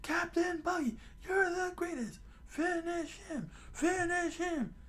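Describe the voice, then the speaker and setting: A rowdy, jeering pirate henchman. The voice squeals far up in falsetto, cheering like a storybook villain's lackey. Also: slow pace, fairly bright tone, exaggerated voice. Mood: gleeful.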